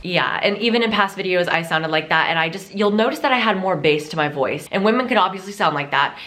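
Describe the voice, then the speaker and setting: A woman's voice: lowish voice, lively intonation, fast, a bit bright. A young adult woman vlogging in a casual, chatty and playful way, swearing freely as she gives tips. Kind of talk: casual chat.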